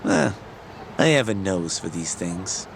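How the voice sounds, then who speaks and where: An actor playing a sly, knowing journalist informant in a video game, sardonic under surface politeness. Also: male voice, low-pitched, expressive, slow pace, creaky, slight background noise, exaggerated voice. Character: sly, smooth-talking male journalist informant, casually knowing. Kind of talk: video game cutscene dialogue. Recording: music behind the voice.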